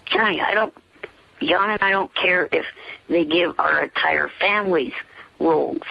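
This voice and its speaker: Slightly high male voice, gravelly, stubborn, clipped refusals, muffled phone sound. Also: measured pace, no room sound. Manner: emphatic, flat refusals in short bursts. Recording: dull lo-fi phone recording.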